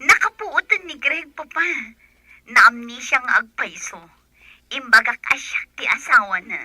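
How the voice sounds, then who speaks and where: Scheming daughter for Ilocano radio drama, very high, extremely bright; female actor. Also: animated, unhurried, no room sound, full character voice. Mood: pleading. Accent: Filipino, Ilocano. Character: pleading, scheming grown daughter.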